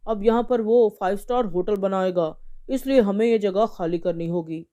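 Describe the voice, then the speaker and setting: Firm, resigned hotel boss, a man acting, mellow voice. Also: high voice, normal speed, clear, resonant tone, close to an everyday voice. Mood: grave, resigned. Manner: formal, matter-of-fact. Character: male hotel boss breaking bad news, firm and resigned.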